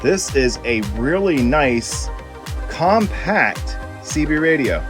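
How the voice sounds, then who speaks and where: A man's voice: on the high side, expressive, slow pace, over music. A man hosting a product review video, talking to viewers in short, simple explanatory phrases. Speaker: host of a gear review channel.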